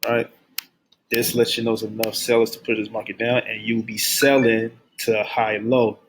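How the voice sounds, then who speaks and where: A young man gives a trading lesson in a deep, flat, deliberately hushed voice. He repeats key phrases again and again. A faint hum of ambience sits behind him. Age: young adult. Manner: speaks low and subdued on purpose; repetitive, drills key phrases. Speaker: forex trading instructor. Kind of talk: screen-share trading lesson. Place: at his workplace.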